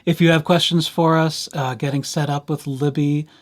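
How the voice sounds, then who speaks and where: A man's voice: fairly high pitch, steady tone, normal speed, background noise. A man presenting an informal screencast tutorial for library users, talking through each step in a playful, conversational way with little asides.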